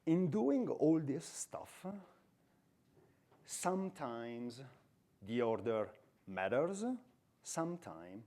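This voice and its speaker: A man's voice: medium pitch, sing-song, very slow, pauses often, warm tone. A man in his forties with an Italian accent, giving a chatty, self-deprecating conference talk on stage into a headset mic. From Italy. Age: 40s. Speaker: conference speaker.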